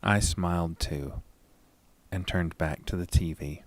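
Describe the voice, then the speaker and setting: A man playing an anxious, haunted narrator in a first-person horror audio drama, deliberate and suspenseful. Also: sits low, no echo, full character voice. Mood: tense dread.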